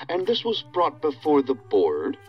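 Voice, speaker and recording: Guarded federal field leader on a dull comms line, lively pitch swings, deferential, formal, audio drama. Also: male voice, unhurried. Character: dutiful, guarded male federal task force leader. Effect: radio or phone line filter. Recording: dull band-limited call line, music underneath.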